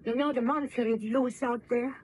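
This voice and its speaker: A woman's voice: on the high side, fairly even intonation, moderate pace, darkish tone, husky, low-quality recording. A woman playing a baron's anxious, devoted wife, formal and melodramatic in the manner of 1930s radio drama. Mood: worried. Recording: old radio broadcast with faint noise.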